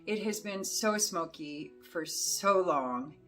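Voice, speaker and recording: A middle-aged woman chats loosely to the camera about perfume, her voice hoarse and sitting a little low. She rambles along with plenty of ums and restarts. Faint music plays softly behind her. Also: animated, takes it slowly. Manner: rambling, chatty, with many fillers. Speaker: fragrance reviewer. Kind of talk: casual chat to camera.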